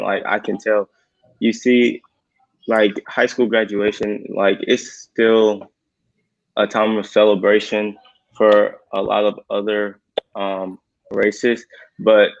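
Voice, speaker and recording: Flat-voiced young man, lo-fi sound. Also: on the low side, vocal fry, a little hiss or hum. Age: young adult. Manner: halting, many fillers. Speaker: panel guest. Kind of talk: casual discussion.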